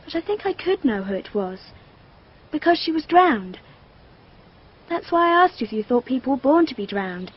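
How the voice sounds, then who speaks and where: A high, lilting girlish voice in a dull radio play recording. The British schoolgirl is precocious and dreamy, speaking with earnest, childlike candour. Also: very animated, normal speed, long pauses, husky, heavily acted. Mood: earnest, secretive. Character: precocious, dreamy, matter-of-fact young schoolgirl. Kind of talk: radio drama acting.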